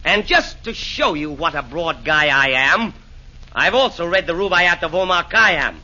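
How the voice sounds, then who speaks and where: Brief: a pompous rhyming hellish hitman, lively bright voice, old radio play, lo-fi. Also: fairly high pitch, a little slow, heavily acted. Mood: boastful. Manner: theatrical, blustering, declamatory verse. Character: boastful rhyming devil's henchman, would-be assassin. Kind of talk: 1940s radio acting. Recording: dull lo-fi 1940s radio recording.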